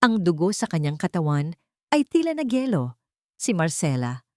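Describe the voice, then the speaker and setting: Female storyteller, lowish register, lively swings in intonation, suspenseful dramatic narration in a dry booth sound. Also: moderate pace, dry recording.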